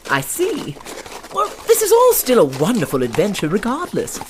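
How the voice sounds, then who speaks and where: A man's voice: high voice, huge pitch swings, moderate pace, background noise. A man playing a naive, well-spoken dandy fish from New York in a comic western audio drama, with wordy, formal diction. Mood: eager, earnest.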